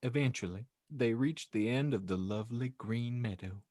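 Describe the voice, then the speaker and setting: A man's voice: medium pitch, moderate pace, warm tone, dry recording, clean studio sound. A man narrating a fan fiction aloud as a podcast host, reading theatrically with wry comic asides. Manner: theatrical, wry, breaks into laughter. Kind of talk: comedic dramatic reading with asides.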